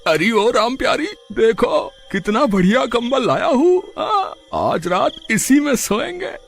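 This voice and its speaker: A man's voice: very high voice, big pitch swings, unhurried, gravelly, soft background music, exaggerated voice. A man voicing a goofy, flirty village rogue who woos with a smarmy, giggly manner in a cartoon.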